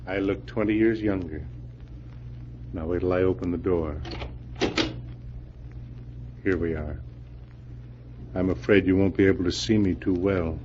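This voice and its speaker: An actor playing a murdered husband's ghost, calm, measured and reproachful, in 1940s radio drama style. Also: male voice, deep voice. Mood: eerily calm. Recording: muffled, noisy old-time radio recording.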